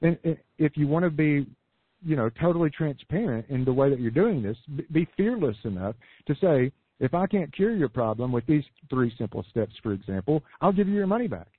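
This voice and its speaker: A man coaching entrepreneurs in a podcast interview, losing his voice, talking in an energetic sales pitch with many restarts. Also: brisk, husky, no room sound. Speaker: business coach guest. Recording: phone-like lo-fi dry audio.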